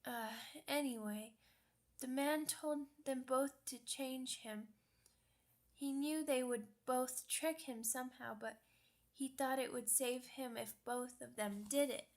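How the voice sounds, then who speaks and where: A woman plays a young werewolf pup in an ASMR roleplay. The voice is high and childlike, and the speech comes very slowly, halting and hesitant. The pup sounds sweet, timid and earnest. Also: fairly even intonation. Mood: wistful storytelling.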